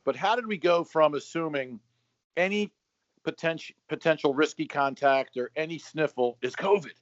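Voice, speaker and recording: A middle-aged man co-hosting a podcast, telling stories in a lively, joking, casual way. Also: fairly high pitch, normal speed, no room sound. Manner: animated storyteller, joking. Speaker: podcast co-host. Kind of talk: casual chat. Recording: dry, slightly dull sound.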